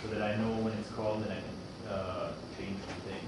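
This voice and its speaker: A man asks a technical question from the audience, pausing to find the exact words. His voice is fairly low and distant, almost swamped by loud noise. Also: level tone, very echoey. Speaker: audience member asking a question. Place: audience of a meetup room.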